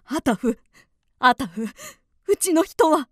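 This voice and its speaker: An actress playing an anxious wife in a radio drama. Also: female voice, high voice, lively intonation, slow, a bit bright, no echo, studio quality, exaggerated voice. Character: anxious wife searching for her husband.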